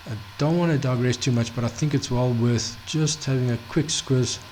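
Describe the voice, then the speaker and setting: A man teaching a Bible study off the cuff, halting, full of restarts, fillers and "okay?" check-ins. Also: mid-range voice, darkish tone, a little hiss or hum, rough recording. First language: not Hebrew. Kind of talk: unscripted screen-share Bible study.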